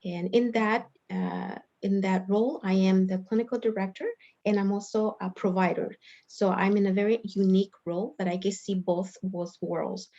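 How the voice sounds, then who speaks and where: A middle-aged woman from El Salvador introduces herself informally on a panel. She talks in a halting, earnest, rambling way at a medium pitch. The video call leaves her sounding dull and lo-fi. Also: steady tone, warm tone. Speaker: panelist, clinical psychologist and director.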